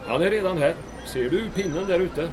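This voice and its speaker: An actor playing a diplomatic small-town mayor in a comic audio drama, measured, dry and understated. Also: male voice, high voice, lively intonation, background noise.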